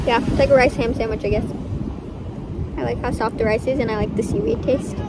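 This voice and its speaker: A woman's voice: on the high side, fairly even intonation, fairly quick, very noisy. A young woman in her twenties casually narrating a travel vlog into a phone held at arm's length, upbeat and breezy, slipping into giggles. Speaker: vlogger. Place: outdoors on a beach and in a hotel room. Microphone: handheld phone at arm's length.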